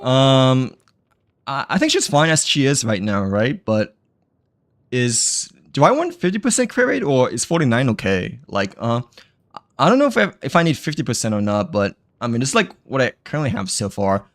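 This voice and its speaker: A man's voice: medium pitch, very animated, normal speed, no echo. A man livestreaming a game, chatting in a rambling way full of fillers and giggles, his throat a little dry and tired. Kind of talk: casual game stream chat.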